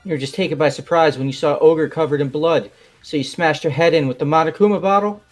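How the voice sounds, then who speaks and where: Man as an easygoing young guy, rambling and a touch high. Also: fast, soft background music, close to an everyday voice. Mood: casual. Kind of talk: fan voice acting of a game.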